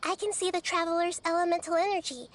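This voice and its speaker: A woman playing a small childlike goddess in a video game, gentle, composed and articulate, explaining things in measured phrases. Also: very high voice, fairly even intonation. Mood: gently resolute. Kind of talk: video game character acting.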